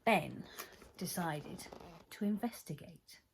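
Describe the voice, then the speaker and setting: A woman reading a picture book aloud to young children, expressively, with dramatic pauses and hushed phrases. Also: fairly low pitch, lively intonation, gravelly, a little hiss or hum, poor audio. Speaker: children's story reader.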